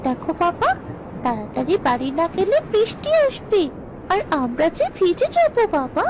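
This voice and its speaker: A woman's voice: very high-pitched, very animated, normal speed, noisy background. A woman voicing a young village girl in a cartoon, a caring daughter with a pleading, childlike manner. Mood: worried, pleading. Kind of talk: Bengali cartoon voice acting.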